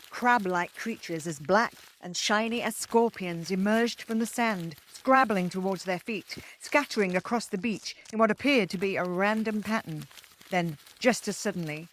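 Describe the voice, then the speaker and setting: A woman narrating a story aloud as host, in a dramatic, expressive and theatrical scripted style. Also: mid-range voice.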